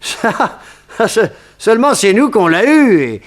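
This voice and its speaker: A man's voice: high-pitched, very animated, slow pace, full character voice. A man playing a wily tough guy posing as a whining captured crook in a 1960s French radio crime serial, cheeky and sometimes stammering. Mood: whining, cornered.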